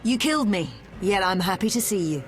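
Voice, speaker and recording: An actress playing a loyal fallen warrior in a fantasy game, bold and forthright. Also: female voice, mid-range voice, expressive, brisk, lightly acted. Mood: wry fondness. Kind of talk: fantasy video game acting. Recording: faint music behind the voice.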